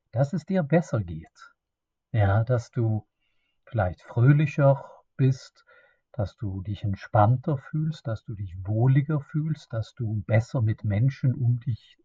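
A middle-aged man with a Swedish accent talks slowly and haltingly, restarting sentences as he searches for words. His voice is mellow and a little husky. The recording is dry and somewhat dull, with no room sound. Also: mid-range voice, no echo. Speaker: podcast host. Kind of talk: unscripted solo podcast monologue.

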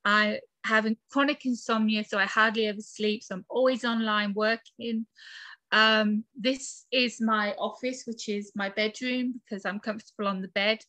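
A woman's voice: on the high side, fairly even intonation, normal speed, bright, forceful tone, no echo, poor audio. A British woman in her forties from the UK, chatting casually as an interview guest in her bedroom office, with lots of fillers and laughs. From United Kingdom. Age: 40s. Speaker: guest author and podcaster.

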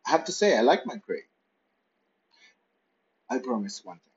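A man tells a playful, meandering pet story in the first person. His pitch swings lively up and down. The recording sounds dull and muffled. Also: normal speed. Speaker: pet owner narrating.

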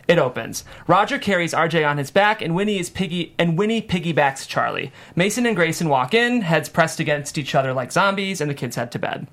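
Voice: medium pitch, lively intonation, fairly quick, few pauses, fairly bright tone. A narrator reading scene headings and stage directions at a script table read.

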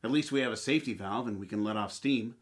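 A man's voice: lowish voice, quick pace, natural voice. A man playing a blustery, henpecked but sentimental husband in 1940s radio comedy style. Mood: rueful, tender.

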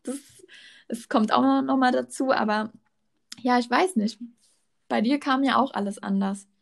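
Young woman in her 20s, student, rambly casual chat, keeps correcting herself, slightly high voice. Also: moderate pace, vocal fry. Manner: rambling, self-correcting, laughs often. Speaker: student, co-host.

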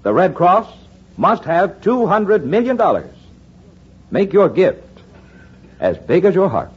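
A man's voice: sits high, very animated, takes it slowly, background noise. A man making an earnest, declamatory 1940s radio appeal. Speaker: radio announcer. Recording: dull vintage radio recording with brass music.

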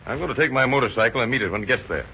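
A confident American police officer in a vintage radio crime play. His voice is bright and forceful at a middling pitch, authoritative and plain-spoken. The old recording is dull and hissy. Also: expressive, very fast, gravelly, breathy edge, full character voice. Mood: alert. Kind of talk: 1930s radio drama acting.

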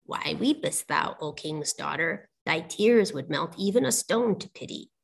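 A woman's voice: low voice, expressive, a little slow, creaky, slightly rough, pitched below the performer's own voice, full character voice. A woman voicing a solemn, plaintive male frog in a storybook, with formal, old-fashioned phrasing. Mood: solemn, soothing. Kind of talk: storybook character voice, archaic speech.

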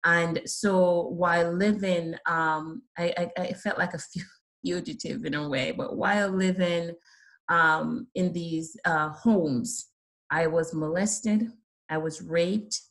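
A middle-aged woman from Jamaica with a Jamaican accent, telling her life story earnestly as an interview guest, with soft laughs and many ums. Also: on the low side, steady tone, takes it slowly. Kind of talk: personal testimony in an interview.

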